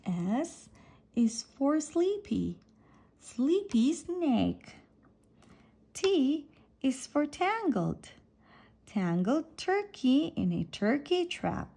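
A woman reading an alphabet picture book aloud for children, deliberately setting apart each letter and phrase. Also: high-pitched, sing-song, vocal fry, dark tone.

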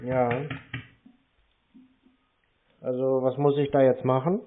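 A man's voice: medium pitch, little pitch movement, brisk. Laconic male customer, casual phone talk, narrow telephone sound. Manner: laconic, noncommittal short replies. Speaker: prank caller posing as interested customer. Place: phone call. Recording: tinny, band-limited phone line.

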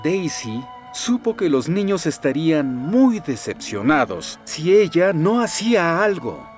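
A man narrating a children's cartoon in an expressive storybook style. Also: fairly high pitch, measured pace, faint music behind.

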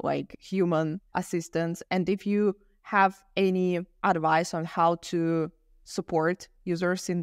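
A woman's voice: on the low side, no echo, studio quality. A woman in her thirties putting considered questions to a guest as a podcast host. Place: dim room at home. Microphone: large podcast microphone up close.

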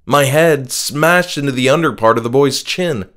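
A man's voice: medium pitch, normal speed, fairly bright tone, close to an everyday voice. A man voicing a frightened, injured male friend who speaks in agitated, insistent protest in a horror audiobook. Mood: tense recounting. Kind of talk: horror audiobook character dialogue.

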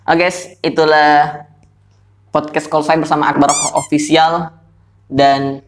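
A young man hosts a casual podcast call from a small room. His voice sits a little high and is slightly hoarse, and he talks in a relaxed, chatty vlogger way. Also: takes it slowly, a little hiss or hum. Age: young adult. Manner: laid-back, chatty. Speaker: YouTuber hosting a podcast call. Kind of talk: casual vlog-style phone interview.

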